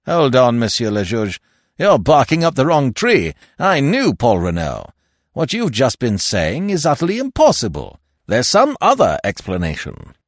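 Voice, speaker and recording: A man's voice: medium pitch, wildly swooping pitch, moderate pace, no echo, studio quality, voice pushed up. A man voicing a brash, blunt, loyal secretary in an audiobook mystery, speaking in slangy, emphatic, indignant bursts. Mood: indignant disbelief. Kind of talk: acted dialogue in audiobook mystery.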